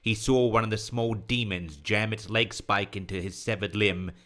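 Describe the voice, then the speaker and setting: Man with a lowish voice reading out horror stories, measured literary delivery, podcast narrator type. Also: steady tone, a bit bright. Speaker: author and narrator of an audio horror story podcast. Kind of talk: scripted horror story narration.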